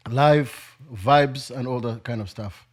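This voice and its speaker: Husky-voiced young male podcast host. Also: medium pitch, slow. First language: not English. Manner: laughs often, asks follow-up questions. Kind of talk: casual podcast chat.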